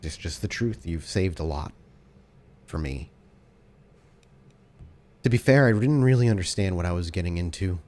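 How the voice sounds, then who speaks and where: A man playing an anxious, devoted brother who speaks earnestly in halting, stammering starts. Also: low voice, animated, a little mellow. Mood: nervous, grateful.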